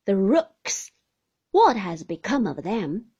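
A woman voicing a brisk, stern older aunt who speaks in curt, commanding bursts, with a breathy voice. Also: mid-range voice, big pitch swings, dark tone, lo-fi sound, full character voice. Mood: scornful.

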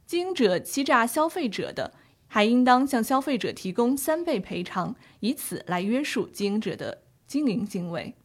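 A woman's voice: fairly high pitch, big pitch swings, moderate pace. A woman playing an earnest, concerned grandchild seeking legal advice in a scripted educational audio drama. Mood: reciting carefully.

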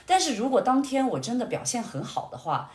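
A woman chatting casually as a podcast guest, telling personal stories in an animated, reflective way and explaining things step by step. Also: fairly high pitch, brisk. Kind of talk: casual conversational personal storytelling. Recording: roomy, echoing indoor sound.

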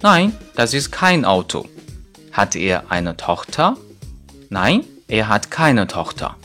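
A man's voice: lowish voice, sing-song, bright, forceful tone, faint music behind. A man who is a native Chinese speaker, teaching German grammar in a scripted but conversational lesson with carefully spoken examples. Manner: didactic, conversational particles, slow careful example sentences. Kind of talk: scripted audio lesson with casual asides.

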